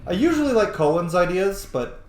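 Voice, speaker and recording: A man's voice: high voice, big pitch swings, fairly quick, a little mellow. A young man giving casual, rambling playthrough commentary on a video game, with joking asides. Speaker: streamer playing a video game. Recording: roomy echo, faint background noise.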